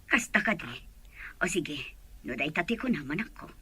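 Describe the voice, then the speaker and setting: A woman's voice: lowish voice, animated, moderate pace, a bit bright, slightly rough, full character voice. A woman acting a questioning middle-aged mother with a Filipino accent in an Ilocano audio drama. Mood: confiding.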